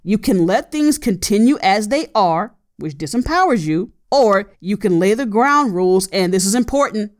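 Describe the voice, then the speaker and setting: A woman's voice: medium pitch, animated, moderate pace, hardly any pauses. A woman in her late thirties hosting an advice podcast, talking earnestly and repeating her key points for emphasis. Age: 30s. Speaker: podcast host.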